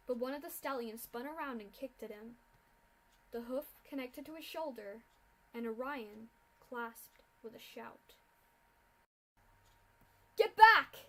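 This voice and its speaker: A woman reads a dark fan fiction story aloud. Her voice sits high for a woman, and she narrates in a steady, earnest manner, letting the grim scenes land without rushing. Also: a little hiss or hum, rough recording. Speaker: fanfiction reader. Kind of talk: dramatic story reading.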